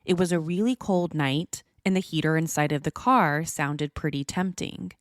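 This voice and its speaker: An American woman hosting a true crime podcast, narrating from a script in a measured, serious storytelling manner. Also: low voice, no room sound, studio quality.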